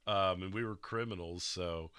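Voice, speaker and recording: A man chatting casually with his stream viewers, his voice a little rough and stuffy, rambling and laughing often. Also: low-pitched, steady tone, normal speed, a bit bright, no room sound. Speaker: livestreamer playing a roleplay dispatcher.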